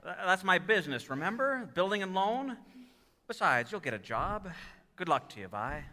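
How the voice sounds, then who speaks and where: A man's voice: high-pitched, very animated, moderate pace, sharp tone, exaggerated voice. An actor playing an earnest, flustered small-town family man in a 1940s-style radio play, stammering and repeating himself. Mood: generous, insistent. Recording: live stage taping in echoey hall.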